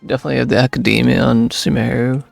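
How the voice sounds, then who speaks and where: A man chats casually while streaming a game. His voice is very creaky and husky at a medium pitch, recorded close and dry. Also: flat delivery, fast talker, no room sound. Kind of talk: casual live reaction commentary. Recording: game music behind the voice.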